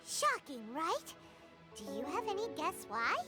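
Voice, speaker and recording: A woman voicing a small, excitable, chatty fairy companion in a video game, with a squeaky, childlike voice and animated, drawn-out storytelling. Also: loud music behind the voice, exaggerated voice. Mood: excited. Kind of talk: video game character acting.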